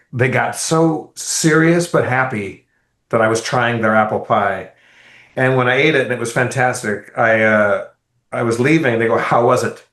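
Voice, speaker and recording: A man co-hosting a podcast, telling a rambling, animated travel story in casual, profane chat. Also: medium pitch. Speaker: podcast co-host. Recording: roomy echo.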